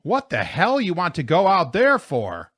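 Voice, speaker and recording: Man acting a loud, gruff storybook truck driver. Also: high voice, huge pitch swings, a little slow, dry recording, studio quality, pitched above the performer's own voice, heavily acted. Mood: loud, curious. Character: gruff, foul-mouthed small-town snowplow driver, man.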